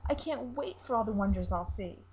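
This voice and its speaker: A woman's voice: mid-range voice, lively intonation, normal speed, dark tone, slight background noise, lightly acted. A woman voicing a naive young mermaid in a story, with a breathless, emotional delivery. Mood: gushing, eager. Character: naive young female mermaid, lovestruck, pleading and frantic.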